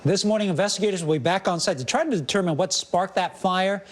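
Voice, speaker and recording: A man anchoring a morning news broadcast, reading stories and tossing to reporters in a brisk, authoritative way. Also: sits high.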